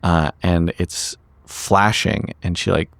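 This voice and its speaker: A man improvises narration as a player in a tabletop game. His deep voice is recorded dry, and he goes haltingly, thinking aloud as he builds each sentence. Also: steady tone, vocal fry, fairly bright tone, dry recording.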